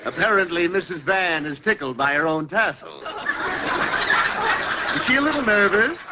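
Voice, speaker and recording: A hammy chuckling quiz host. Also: male voice, sits high, expressive, normal speed, lo-fi sound, a loud crowd. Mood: jovial. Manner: jovial, showy, chuckling. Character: effusive hammy male quiz show host. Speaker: quiz show master of ceremonies. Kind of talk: 1940s radio comedy acting. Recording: hissy old radio broadcast recording.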